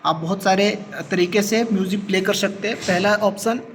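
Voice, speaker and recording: Male tutorial presenter, high voice, methodical and repetitive hands-on explanation with English terms mixed in, faint noise behind. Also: fairly even intonation, moderate pace, a bit bright. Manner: step-by-step, repeats points, mixes English terms into speech. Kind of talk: practical hands-on demonstration.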